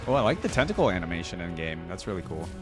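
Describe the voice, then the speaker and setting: A man's voice: low-pitched, very animated, racing. A man giving a casual live reaction commentary, thinking aloud in an informal way as the host. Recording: music behind the voice. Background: game trailer audio and music.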